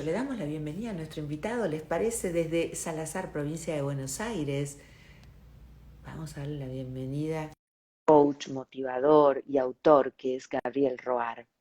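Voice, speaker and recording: A woman's voice: low-pitched, roomy sound. A woman hosting a live online interview, chatting and greeting viewers by name before bringing on her guest. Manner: chatty, warm greetings, quick asides. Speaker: host. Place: home in Miami.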